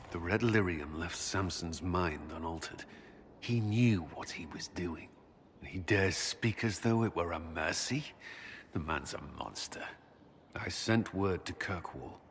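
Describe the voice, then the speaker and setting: A righteous, brooding military commander in a fantasy game. His voice is deep and solemn, devout and held back with grave intensity. Faint ambience sits behind him. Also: slight background noise. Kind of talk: dramatic video game dialogue.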